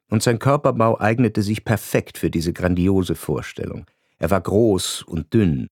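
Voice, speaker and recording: A man reading a literary radio narration in a measured, wry way. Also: lowish voice, darkish tone, no room sound, clean studio sound. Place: radio studio.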